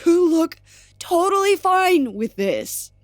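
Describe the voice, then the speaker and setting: A woman's voice: very high-pitched, big pitch swings, takes it slowly, no echo, studio quality, heavily acted. An actress playing a distraught, accusing woman in an audio drama, speaking emphatically and confrontationally. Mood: hurt, accusing. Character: distraught, profane, accusing woman trapped at work.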